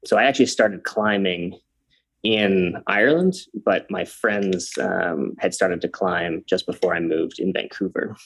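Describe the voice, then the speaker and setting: A man's voice: sits low, a little slow, a bit bright, a little hiss or hum. A man from Canada in his thirties, a podcast guest, talking easygoing with many ums and uhs. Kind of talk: casual interview chat.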